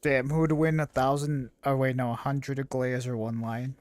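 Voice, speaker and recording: A man's voice: mid-range voice, flat delivery, normal speed, darkish tone, dry recording. A man livestreaming a game, reacting casually to its story as it plays.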